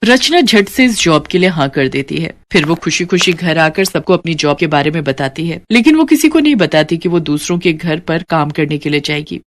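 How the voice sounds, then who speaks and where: A woman's voice: sits low, very animated, moderate pace, hardly any pauses. A woman narrator reading a scripted story.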